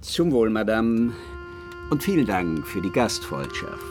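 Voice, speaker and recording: An actor playing a drunken, self-pitying old painter in a German radio drama, speaking in a theatrical, declamatory way. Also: male voice, on the low side, sing-song, measured pace, over music. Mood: courteous. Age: older. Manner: theatrical, declamatory, emotionally volatile.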